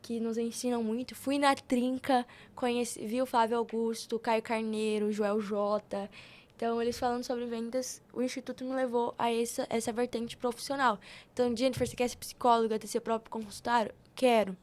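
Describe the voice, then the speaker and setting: Young woman, Brazilian accent, higher register, informal storyteller, podcast guest. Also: level tone, a little fast, darkish tone. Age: young adult. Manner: informal and slangy, retelling conversations in quoted dialogue. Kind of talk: casual personal storytelling.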